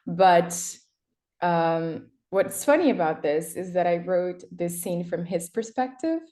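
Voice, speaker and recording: Chatty woman, lower voice. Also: animated, slow pace, mellow. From Brazil. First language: Portuguese. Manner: chatty, laughs often, many fillers and restarts. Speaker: guest, erotic writer. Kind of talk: casual interview chat.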